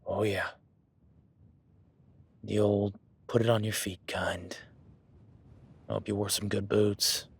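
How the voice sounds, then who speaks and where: A man's voice: on the low side, little pitch movement, takes it slowly, gravelly, long pauses, slightly rough, full character voice. A man playing an easygoing, seasoned cowboy rancher in an audio roleplay, talking to the listener in a folksy, plainspoken way.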